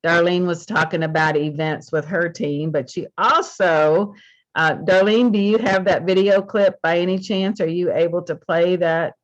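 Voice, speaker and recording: A woman's voice: fairly low pitch, fairly even intonation, normal speed. Woman host, encouraging, muffled lo-fi video-call audio.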